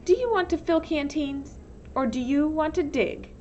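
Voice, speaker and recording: A woman reading a storybook voices a tall female camp warden, cold and commanding, with slow, menacingly polite speech. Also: sits high, mellow, muffled recording, slight background noise, pitched above the performer's own voice, natural voice. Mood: stern threat.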